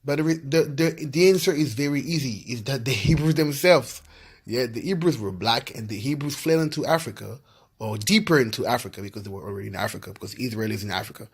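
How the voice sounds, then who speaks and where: Ivorian guy hosting his own channel, reads stuff out and keeps saying yeah, middle pitch. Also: quick pace, husky. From Ivory Coast. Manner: loose and repetitive, with frequent "yeah" and "you know what I'm saying" fillers. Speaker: video host. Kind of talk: casual research commentary reading from websites.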